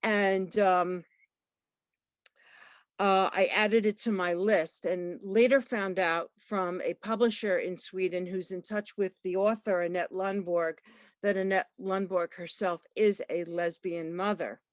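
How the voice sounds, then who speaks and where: A woman librarian giving a talk at an online book launch, halting, with many fillers and restarts. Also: fairly low pitch, fairly even intonation, no echo, studio quality. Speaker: librarian and researcher. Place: online video call.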